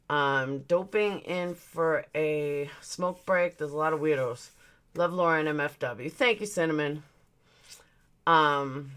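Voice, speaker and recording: Woman, 40s, livestream host: bright, punchy low-ish voice, blunt and chatty while answering viewer comments. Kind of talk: casual livestream chat.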